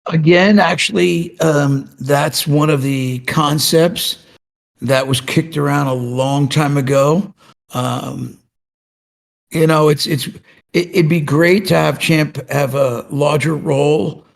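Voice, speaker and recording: A man answering fan questions in a casual voice chat, slow and hesitant with many restarts. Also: fairly high pitch, steady tone, vocal fry. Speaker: game studio representative answering questions.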